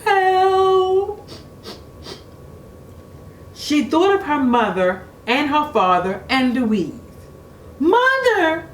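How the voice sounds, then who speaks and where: Slow, expressive woman reading a storybook. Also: on the high side. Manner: expressive, deliberate, engages young listeners with questions. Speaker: children's storytime reader, teacher. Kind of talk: storybook read-aloud to children. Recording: echoey room with faint noise.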